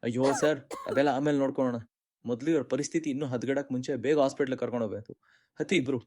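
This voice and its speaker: A man's voice: mid-range voice, steady tone, very fast, darkish tone, natural voice. A man voicing a kind-hearted, jobless young man in a cartoon story, earnest and reflective. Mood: urgent concern. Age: young adult. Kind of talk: dramatic monologue and dialogue in cartoon story.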